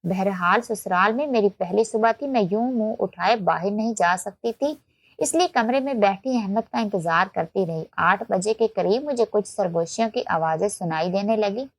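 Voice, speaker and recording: A woman's voice: mid-range voice, moderate pace, no room sound. A woman narrating a scripted, emotional first-person story as a storyteller.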